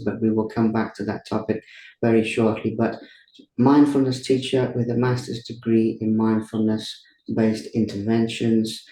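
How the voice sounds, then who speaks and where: A man's voice: fairly low pitch, warm tone. A man with a Welsh accent hosting a podcast interview, effusive and grateful in his introductions and questions. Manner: effusive and grateful, thanking at length. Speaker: podcast host. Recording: echoey room with faint hiss.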